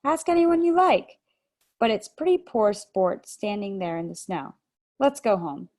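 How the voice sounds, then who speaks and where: A woman's voice: medium pitch, expressive, measured pace, darkish tone. A woman reading aloud over a video call, voicing a sulky young boy who answers snappishly. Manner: snappish, defensive.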